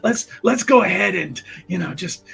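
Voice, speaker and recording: A man voicing a roguish, thrill-seeking occultist in a tabletop game, rambling, excitable and conspiratorial. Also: sits high, normal speed, gravelly, a little hiss or hum, heavily acted. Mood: shady, eager.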